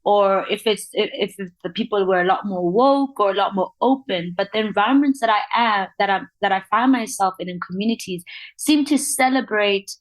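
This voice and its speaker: A young woman from Lesotho with a faint accent that mixes Southern African and British sounds. She is a podcast guest who tells her story candidly, animated and laughing often. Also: mid-range voice, fairly quick, no echo, rough recording. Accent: faint mixed Southern African and British accent.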